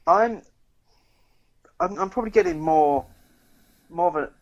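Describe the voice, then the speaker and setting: A man's voice: high-pitched, measured pace, no echo, muffled recording. A British man co-hosting a podcast, talking in a casual, chatty style about what is in front of him. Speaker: podcast co-host reading news. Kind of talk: casual beer podcast chat.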